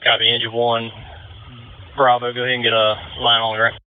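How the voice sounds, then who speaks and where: A man's voice: mid-range voice, monotone, brisk, dry recording. A man working as fire incident commander, giving clipped procedural replies over emergency radio. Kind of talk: terse emergency radio dispatch traffic. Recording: tinny narrowband two-way radio transmission.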